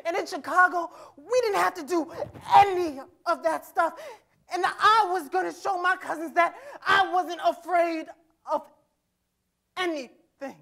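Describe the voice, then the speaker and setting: A defiant, wounded teenage boy played by a young man speaks from a stage in a high voice. His delivery is folksy and halting, with emphatic bitterness, as in a dramatic play reading. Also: gravelly, bright, forceful tone, full character voice. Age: young adult. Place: theatre-like stage in a conference hall. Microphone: lapel.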